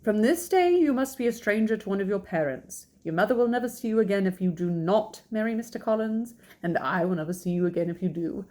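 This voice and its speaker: A woman voicing a calm older gentleman father from a classic novel, deadpan, measured and formal. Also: medium pitch, natural voice. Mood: mock stern.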